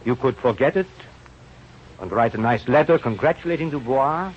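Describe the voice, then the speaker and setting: An actor playing an old, kindly, rueful scientist, speaking in halting, formal phrases in an old radio drama. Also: male voice, mid-range voice, lively intonation, breathy edge. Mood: gentle, philosophical. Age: older. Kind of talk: 1930s-40s radio drama acting. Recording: muffled, noisy old radio recording.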